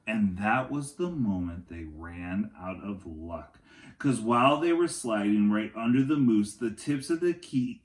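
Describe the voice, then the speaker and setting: A man reading a picture book aloud to young children like a teacher, in an expressive, dramatic way with chatty asides. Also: medium pitch, roomy sound.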